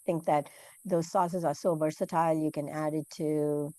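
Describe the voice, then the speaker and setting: A middle-aged woman from Malaysia, a food business owner answering interview questions in a quick, chatty way with lots of "you know". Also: low voice, fairly even intonation, warm tone, no room sound, studio quality. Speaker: guest, food entrepreneur.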